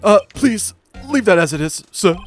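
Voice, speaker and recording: Man putting on a fawning, eccentric hotel bellhop, high-pitched. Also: expressive, normal speed, faint music behind. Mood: servile pride. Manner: fawning, mock-formal politeness. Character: obsequious, eccentric hotel bellhop with morbid salesman zeal.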